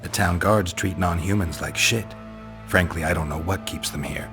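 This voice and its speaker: An actor playing a stoic, blunt monster hunter in a video game, with a terse, deadpan manner. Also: male voice, low-pitched, normal speed, gravelly, over music. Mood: dry, challenging. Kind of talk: video game dramatic dialogue.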